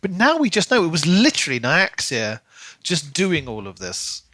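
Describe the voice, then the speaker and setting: A man co-hosting a podcast, casually discussing a book in an animated way and putting on mocking imagined quotes. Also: on the high side, moderate pace, dry recording. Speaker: podcast co-host.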